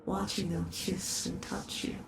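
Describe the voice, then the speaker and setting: A woman voicing a possessive, obsessive eldritch horror in a scripted roleplay, speaking formally and deliberately, with a creaky, soothing yet sinister delivery. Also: mid-range voice, little pitch movement, background noise. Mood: brooding, resentful. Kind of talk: scripted roleplay audio monologue.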